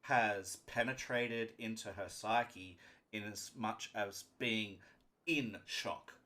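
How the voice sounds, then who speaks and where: A man's voice: lowish voice, slow pace, bright, forceful tone. A man narrating a live tabletop roleplaying game as game master, speaking emphatically and often checking in with his players.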